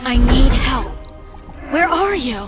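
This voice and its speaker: Proud crusader woman commander in a game, high urgent voice, clipped battle reports, music behind. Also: animated, slow. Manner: clipped military radio reports. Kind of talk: video game voice acting.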